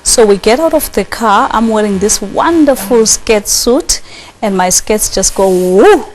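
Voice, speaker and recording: A middle-aged South African woman, a company chief executive, telling stories as an interview guest, lively and laughing often. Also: medium pitch, measured pace, a little hiss or hum, low-quality recording. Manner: animated storytelling with frequent laughs. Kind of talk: studio interview.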